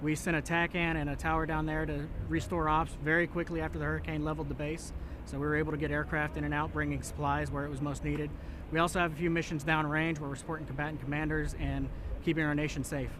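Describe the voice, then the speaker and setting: Higher-pitched man explaining over outdoor noise. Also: flat delivery, fast. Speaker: military training instructor, master sergeant. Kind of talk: on-camera interview, explaining. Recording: outdoor noise, vehicle hum.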